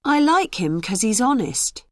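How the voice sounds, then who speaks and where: Higher-voiced woman reading sentences slowly. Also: no room sound. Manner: slow, clear, expressive reading. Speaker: example sentence reader. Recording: dry studio recording.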